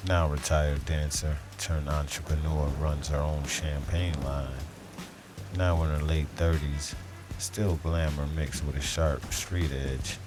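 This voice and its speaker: Hard-boiled police detective narrator, noir audio drama: extremely deep, brooding, terse, over loud music. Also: male voice. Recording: loud music bed behind the voice.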